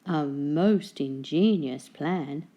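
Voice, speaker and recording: A mild, gloomy lighthouse keeper from a storybook, acted out by a woman. He talks very slowly in a lowered voice, ponderous and formal with his words. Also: dark tone, lo-fi sound, full character voice. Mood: agreeable, ponderous. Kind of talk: children's storybook character voice.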